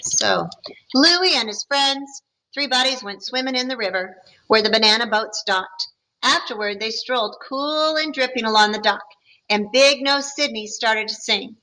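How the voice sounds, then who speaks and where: A woman teacher reads a picture book aloud to young students. She stops to ask them questions and explain the story. Her voice is bright and rather high, with a friendly, patient tone. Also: normal speed, slight background noise, poor audio. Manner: friendly, explaining to children between passages.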